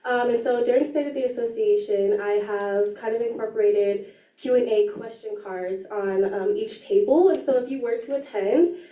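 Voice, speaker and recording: A young woman speaks with a slightly raspy voice, explaining an event earnestly and filling pauses with ums. Her tone is mellow and a bit high. She sounds distant from the mic in an echoey room. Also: muffled recording. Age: young adult. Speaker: student government chief of staff. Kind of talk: meeting announcement.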